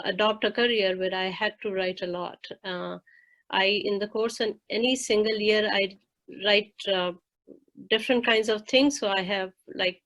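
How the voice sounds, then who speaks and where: Woman, measured explainer. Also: mid-range voice, fairly bright tone. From India. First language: Hindi. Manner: measured, explanatory, with frequent fillers. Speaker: faculty member. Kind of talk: roundtable discussion.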